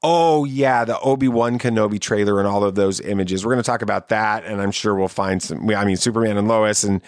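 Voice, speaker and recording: A man's voice: fairly low pitch, a little fast, no room sound, clean studio sound. A man hosting a podcast, talking to listeners in an interview and sign-off style. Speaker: podcast host.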